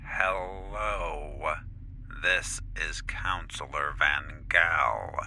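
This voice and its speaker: A man playing a menacing, self-important corporate counselor in an audio drama, deliberate and imperious, heard through a radio transmission with interference. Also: a bass voice, pushed and bright, heavily acted. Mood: impatient, formal. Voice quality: gravelly. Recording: faintly noisy, distorted radio transmission.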